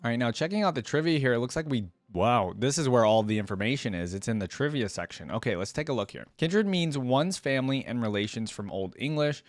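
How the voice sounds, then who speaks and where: A man's voice: mid-range voice, animated, brisk, few pauses, no room sound, faint music behind. A man giving casual reaction commentary, reading lore aloud and adding curious, informal asides as he goes. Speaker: reaction video creator.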